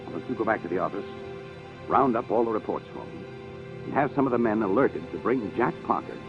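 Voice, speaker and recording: An actor playing a shrewd, commanding police inspector in a 1950s radio drama, with a dry, probing, authoritative manner. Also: male voice, on the low side, expressive, brisk. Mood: interrogating. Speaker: detective inspector. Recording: dull old radio recording with music.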